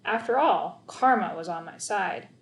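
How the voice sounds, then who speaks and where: A woman narrating a children's novel aloud in an expressive, storytelling style. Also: medium pitch, a little slow, echoey room. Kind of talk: expressive children's audiobook narration, first person.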